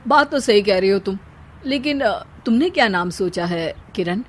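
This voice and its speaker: A woman's voice: medium pitch, animated, normal speed, pitched below the performer's own voice, natural voice. Woman doing a laid-back young cartoon husband, teasing but trying to keep the peace. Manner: teasing, conciliatory. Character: easygoing, teasing young husband and new father. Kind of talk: comic cartoon dialogue.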